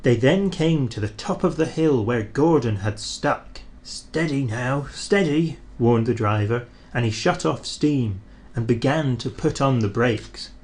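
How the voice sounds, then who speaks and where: Man reading a kids story out loud, lively up and down voice, takes his time. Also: mid-range voice. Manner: expressive, measured. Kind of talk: storybook read aloud.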